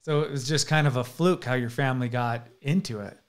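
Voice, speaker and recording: Man, thirties, mid-pitch, enthusiastic, self-deprecating, restarts a lot, podcast mic. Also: brisk. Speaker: interview host. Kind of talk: casual interview chat. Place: indoor studio room with posters. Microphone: desk podcast mic, seen.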